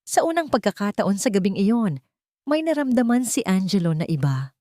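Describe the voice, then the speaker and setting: A woman's voice: medium pitch, sing-song, normal speed, dark tone, no echo, clean studio sound. A woman reading a scripted romantic drama story as narrator.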